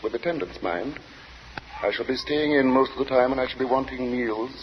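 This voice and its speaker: Male radio-drama role: actor as strange, pious, weary gentleman, stiffly polite with sinister undertones; noisy vintage recording in long reverb. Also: medium pitch, a little fast, dull audio, close to an everyday voice. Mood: weary, solemn. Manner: formal, hesitant, abruptly sharp, then apologetic. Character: eccentric, weary, devout gentleman lodger with a sinister edge. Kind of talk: 1940s radio acting.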